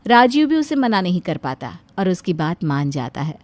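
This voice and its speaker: A woman narrating an animated moral story as a scripted storyteller. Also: on the low side, normal speed.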